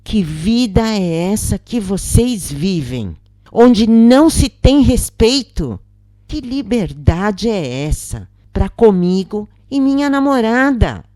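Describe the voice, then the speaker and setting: A woman's voice: medium pitch, sing-song, takes it slowly, mellow, dry recording, pitched above the performer's own voice. A Brazilian woman voicing a hurt, indignant young male student in a story, with reproachful emphasis.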